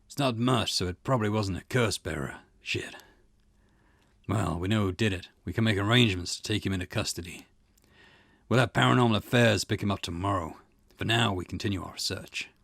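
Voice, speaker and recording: Give the part of a seasoned police boss in a visual novel to a man acting it out. He is low and husky, brusque and wry. His lines come measured and matter-of-fact, with a gravelly rasp. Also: a bit bright, no echo, studio quality. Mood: grim, measured. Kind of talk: reading visual novel dialogue in character.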